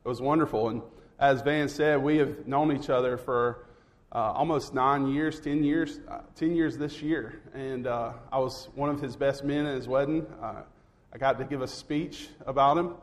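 A man from Alabama preaches in a Southern twang. His voice sits at an average pitch for a man. He speaks as a visiting pastor giving a sermon. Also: steady tone, normal speed. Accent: Southern American, Alabama. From Alabama, United States. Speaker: guest preacher, young adults and students pastor. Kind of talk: sermon with scripture reading.

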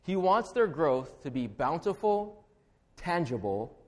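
Hawaiian guy pastor giving a sermon, earnest, measured, slows way down to pray. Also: on the high side, very animated. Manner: earnest, measured preaching with a slow, deliberate prayer.

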